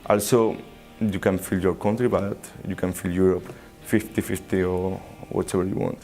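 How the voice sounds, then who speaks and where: A man's voice: deep voice, fairly even intonation, moderate pace, darkish tone, soft background music. A man answering interview questions, searching for words. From Spain.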